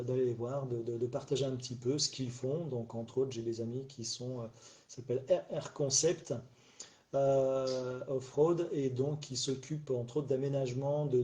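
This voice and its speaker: A man's voice: medium pitch, warm tone. A middle-aged man hosting a casual live stream alone, rambling and hesitant, with many fillers and restarts, a little stuffy after a cold. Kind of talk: casual solo live chat. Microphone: phone or webcam on a live stream.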